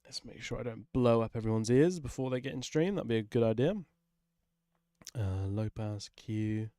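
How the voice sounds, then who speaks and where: A man's voice: medium pitch, normal speed, mellow, no echo, clean studio sound. A man casually chatting on a livestream while he works, informal and sweary, often muttering to himself. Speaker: livestream host, music maker.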